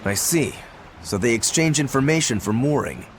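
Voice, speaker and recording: A man's voice: mid-range voice, expressive, normal speed, close to an everyday voice. An actor playing a laid-back male adventurer in a game, wry and easygoing. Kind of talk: animated game voice acting. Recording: music behind the voice.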